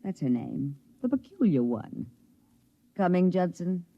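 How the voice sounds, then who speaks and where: Decadent thrill-seeker, coy, haughty, teasing, very animated intonation, 1950s radio drama, dull vintage recording. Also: female voice, lowish voice, unhurried, full character voice.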